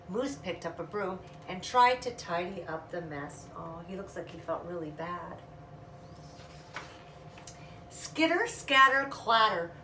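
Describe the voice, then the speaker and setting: A woman's voice: mid-range voice, fairly bright tone. A woman reading a children's story aloud expressively, drawing out sound words and adding casual asides. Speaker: storytime reader. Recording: echoey room, distant mic, faint noise.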